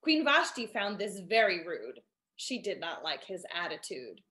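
A woman in her thirties reading a rhyming children's storybook expressively and deliberately over a video call. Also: fairly low pitch, big pitch swings, sharp tone. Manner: measured, deliberate storybook delivery. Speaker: storyteller and author reading her picture book. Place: indoor room at home, on a video call. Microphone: computer video call.

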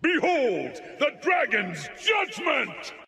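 Stern ringing fantasy warrior. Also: male voice, very high-pitched, wildly swooping pitch, slow pace, vocal fry, bright, forceful tone, shouting, full character voice. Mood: righteous, stern. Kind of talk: video game character voice lines. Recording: echoing long reverb.

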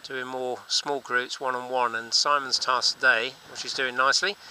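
A man's voice: medium pitch, little pitch movement, normal speed, poor audio. A man who teaches paragliding, talking casually as he flies outdoors on a helmet camera mic. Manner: chatty, explaining as he flies. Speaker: paragliding instructor. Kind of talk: casual narration while flying. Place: outdoors, paragliding over sea cliffs. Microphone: helmet-mounted camera mic. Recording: loud wind noise on an action-camera mic.

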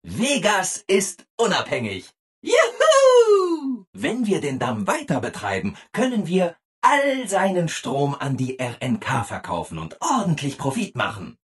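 Over-eager robot assistant, video game character, hugely swooping intonation, very husky rough-edged tone, relentlessly cheerful. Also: male voice, high-pitched, huge pitch swings, a little slow, full character voice. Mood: excited, helpful. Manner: chirpy, over-eager, exaggeratedly obliging.

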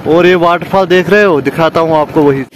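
A young man talks casually to his vlog audience. He is chatty and often repeats phrases for emphasis. The phone recording, made at arm's length outdoors, has clear background noise. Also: a little high, a little fast, poor audio. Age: 20s. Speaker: vlogger. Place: outdoors on village roads, paths and by water. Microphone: handheld phone at arm's length.

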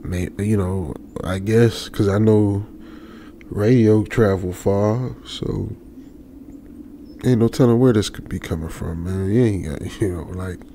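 A man's voice: fairly low pitch, measured pace, mellow. A man with an American accent playing an anxious young trucker, muttering nervously to himself in a radio drama. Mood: self-reassuring. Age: young adult. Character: anxious young male trucker stranded alone. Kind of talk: ASMR radio drama monologue. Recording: faint background noise.

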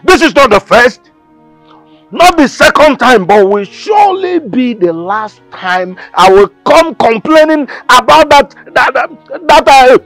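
High-pitched, threatening Igbo village father. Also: fairly bright tone, breathy edge, full character voice, poor audio. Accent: Nigerian, Igbo. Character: angry, threatening village father protective of his daughter. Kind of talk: Nigerian film drama acting, switching between English and Igbo.

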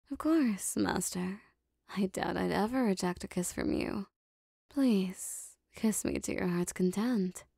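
Woman acting as a slow, creaky-voiced devoted demoness. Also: mid-range voice, dry recording, clean studio sound, heavily acted. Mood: tender, hungry. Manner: soft, breathy, formal archaic phrasing, lingering pauses. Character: lovestruck possessive female demon bound to a sorcerer. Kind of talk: intimate roleplay ASMR audio drama.

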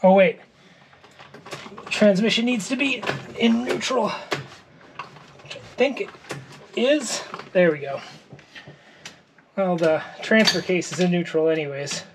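A man in his thirties talks his way through a truck repair in a cluttered wooden garage, mumbling to himself and cracking dry jokes at his own expense. His voice is worn and a little hoarse from days of talking, recorded on a head-mounted camera. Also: high-pitched, slow, long pauses, background noise. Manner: wry, self-mocking, muttering to himself while working. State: losing his voice. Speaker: vlogger working on his truck. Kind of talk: casual workshop vlog.

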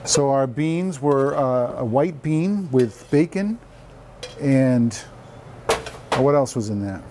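A man's voice: medium pitch, lively intonation, slow. A middle-aged man chatting casually as he co-hosts a cooking demonstration in a large kitchen. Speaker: cooking show co-host. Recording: faint background noise.

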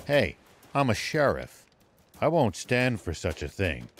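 An actor playing a stern, courteous old sheriff in a video game, speaking in a formal, measured way. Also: male voice, lowish voice, very animated, faint music behind. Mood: stern, embarrassed. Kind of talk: video game cutscene acting.

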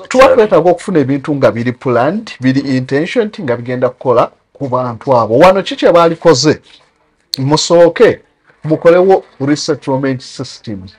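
A man's voice: a little high, breathy edge. A man with a Ugandan accent giving critical talk show commentary, mixing English terms into his speech.

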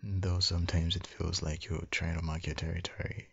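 Very deep, husky, creaky-voiced man. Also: level tone, dull audio. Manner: tender, doting, slow, murmured with soft hums. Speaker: ASMR roleplay performer playing a loving husband and father. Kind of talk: boyfriend ASMR roleplay, soft intimate acting.

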